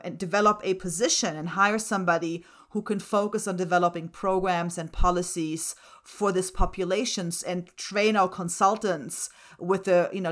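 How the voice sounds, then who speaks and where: A woman's voice: fairly low pitch, fairly bright tone. A middle-aged woman from Germany, a native speaker of German and Slovak, explaining things in an articulate, earnest way as an interview guest. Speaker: interview guest, writing center director and associate professor.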